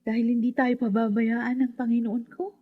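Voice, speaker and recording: An actress playing a defiant, possessed young daughter who serves darkness, imperious and grandiose in a radio horror drama. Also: female voice, fairly high pitch, little pitch movement, moderate pace, breathy edge, phone-quality sound. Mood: defiant, menacing.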